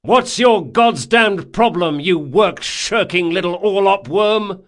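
A man voicing a foul-mouthed young sea captain in an audiobook, shouting commands and theatrical insults. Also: high-pitched, slow, voice pushed up. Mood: mock-furious. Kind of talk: audiobook character acting.

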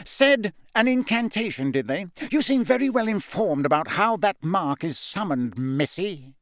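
A man's voice: high-pitched, expressive, moderate pace, no echo, clean studio sound, pitched above the performer's own voice. A man voicing a cold, curt, enraged older male official in an audiobook, snapping accusations. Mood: scornful, suspicious. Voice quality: sharp, curt. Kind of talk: audiobook character voice.

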